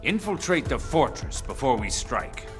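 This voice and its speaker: Stern formal director general type, measured game cutscene voice, music clearly behind him. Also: male voice, medium pitch, sing-song. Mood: grave, firm. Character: grave, formal commanding director general, middle-aged man. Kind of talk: video game cutscene acting.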